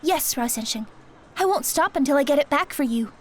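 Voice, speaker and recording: A woman's voice: sits high, lively intonation, a little fast. A woman playing a sweet, polite and eager young woman in an audio drama, gushing. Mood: grateful. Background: light music.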